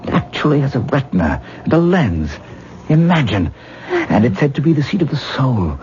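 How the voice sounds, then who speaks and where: A man's voice: mid-range voice, very animated, moderate pace, darkish tone, close to an everyday voice. A male actor playing an obsessive, self-absorbed stage-actor husband in a 1970s radio drama, with a rapturous, theatrical, musing manner. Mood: rapt, soft. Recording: muffled, hissy 1970s radio recording.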